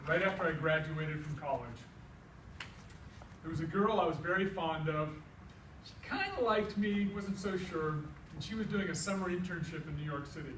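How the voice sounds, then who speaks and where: A middle-aged American man with a fairly high voice tells a comic story in an animated, folksy manner. He plays out each side of the conversations as he goes. The sound is echoey and a little distant, as if recorded across a room. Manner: animated, folksy delivery acting out both sides of dialogue. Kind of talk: live comic tall-tale storytelling. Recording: echoey room, distant mic, faint noise.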